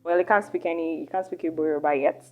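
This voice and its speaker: A young woman from Nigeria hosting a casual podcast chat, animated and chatty, often trailing off and restarting her thoughts. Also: low voice, fast, muffled recording, faint music behind. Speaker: podcast host.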